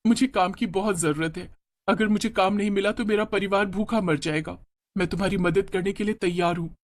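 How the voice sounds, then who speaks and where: A timid young village woman from a cartoon moral tale, acted by a man. The voice is high, and it sounds worried, hesitant and earnest. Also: fairly even intonation, a little fast, close to an everyday voice.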